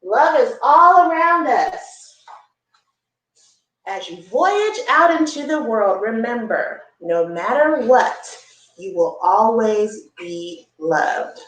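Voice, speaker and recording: A woman with a high, very lively voice that swings up and down leads a children's story time. She reads in an encouraging way, lingering on repeated phrases and chatting to young listeners between lines. The sound is roomy and echoey, picked up some way from the microphone. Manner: encouraging, repeats key phrases slowly, checks in with "right?". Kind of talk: read-aloud story time with conversational asides to children. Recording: roomy echo, distant microphone.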